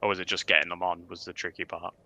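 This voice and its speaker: A man's voice: sits low, level tone, very fast, pushed and bright, no echo. A man asking questions in a casual hobby livestream conversation. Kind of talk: casual chat.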